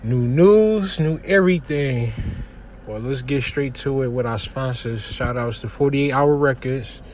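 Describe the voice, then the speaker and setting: A young man from hosting a casual solo podcast from his kitchen, giving news commentary in a relaxed, slangy way with lots of shout-outs. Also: mid-range voice, measured pace, a little hiss or hum. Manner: laid-back slangy talk with frequent shout-outs. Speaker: podcast host.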